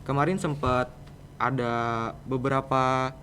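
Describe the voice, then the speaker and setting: A man's voice: mid-range voice, unhurried, fairly bright tone, slight background noise. A teenage male student with a Jakarta Indonesian accent, answering casually and a little hesitantly as a podcast guest. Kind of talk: casual interview chat.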